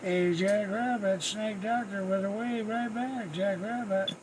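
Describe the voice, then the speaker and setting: A man's voice: high-pitched, fairly even intonation, a little slow, very noisy. A man making folksy, repetitive CB radio calls in slangy radio lingo. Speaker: radio operator making calls to other stations. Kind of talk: CB radio calling chatter.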